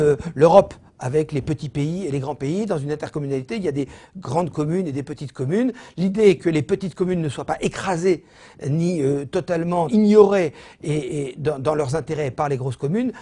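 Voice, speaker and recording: A man with a French accent from France giving an explanatory lecture as a professor, in a didactic, measured manner with frequent hesitations. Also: on the high side, dark tone. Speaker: business school professor giving an online lecture.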